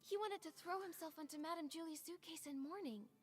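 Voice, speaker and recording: Gentle, high-voiced young heroine. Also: female voice, fairly quick, soft background music. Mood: thoughtful. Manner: polite, soft, earnest. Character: Nikki, gentle polite young girl heroine investigating. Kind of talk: game voice acting.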